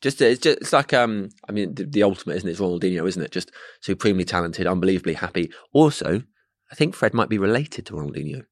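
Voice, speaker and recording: A man's voice: deep voice, lively intonation, fast, dry recording. A man co-hosting a podcast in casual, joking chat, recorded in a room at a training ground beside a gym. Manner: chatty, joking, self-deprecating. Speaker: podcast co-host.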